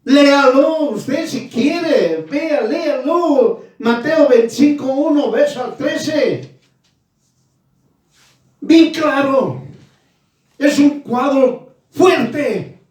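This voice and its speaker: A man's voice: extremely high pitch, expressive, very slow, pauses often, darkish tone. A man preaching a fervent evangelical sermon, stern and admonishing, in short, emphatic phrases with repeated exhortations. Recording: very echoey room, distant from mic.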